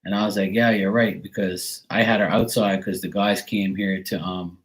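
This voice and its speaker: Man from Bermuda, stream host, loose chatty rambler, a touch low in pitch. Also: level tone, moderate pace. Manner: rambling, chatty storytelling with frequent fillers. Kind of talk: casual chat.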